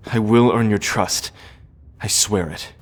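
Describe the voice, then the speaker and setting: An actor playing an earnest, conflicted prince in an audio roleplay, speaking formally and deliberately with sighs. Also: male voice, on the low side, background noise, natural voice. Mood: resolute, earnest. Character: earnest, conflicted prince, son of a scheming queen. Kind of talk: audio roleplay drama acting.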